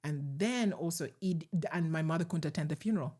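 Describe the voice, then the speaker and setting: A middle-aged woman with a Ugandan accent speaks in a low register, telling her story in a measured, reflective way. She lets soft laughs slip in as she talks, answering questions as an interview guest. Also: slightly rough.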